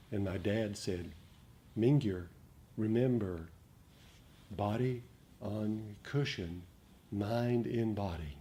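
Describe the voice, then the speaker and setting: Man, lowish mellow voice, gentle reflective meditation teacher, halting delivery, noticeable background noise. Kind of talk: dharma talk to a meditation group.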